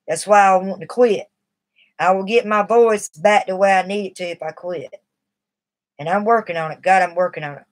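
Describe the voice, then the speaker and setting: A middle-aged woman chatting on her live stream in a rambling, confiding way. Her voice sits at an ordinary pitch for a woman, and she sounds a little shaky as she fights off cigarette withdrawal. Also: moderate pace. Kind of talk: casual live stream talk.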